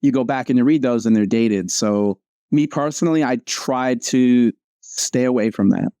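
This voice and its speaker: Relaxed man with a warm, dry voice. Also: mid-range voice, normal speed, smooth, no room sound. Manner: relaxed, frequent "like" and "um". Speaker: comic strip creator, guest. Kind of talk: casual conversation.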